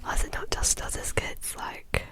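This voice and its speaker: A woman, reading a bedtime story in a soft ASMR whisper. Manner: soft, slow, lilting whisper.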